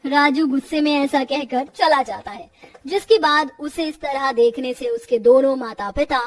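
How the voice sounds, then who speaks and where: High-voiced woman narrating a cartoon story. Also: moderate pace, recorded from a distance.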